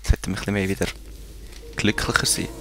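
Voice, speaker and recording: A man's voice: on the low side, steady tone, fast talker, fairly bright tone. A man with a Swiss German accent giving casual commentary while playing a strategy game, muttering to himself and talking to the units on screen. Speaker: let's play gamer.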